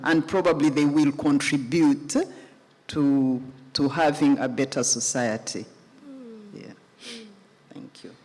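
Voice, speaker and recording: A middle-aged woman on a live panel speaks slowly and thoughtfully, restarting her phrases as she goes. A long reverb from a big hall hangs behind her voice. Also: extremely low pitch, steady tone, mellow. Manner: measured, reflective, with restarts. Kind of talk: panel discussion at a live festival.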